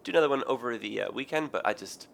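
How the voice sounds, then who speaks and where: A man with a raspy, hoarse, tired voice, rambling through casual game commentary with many ums and self-corrections. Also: mid-range voice, racing, a little hiss or hum. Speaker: gaming video host. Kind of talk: casual let's play commentary.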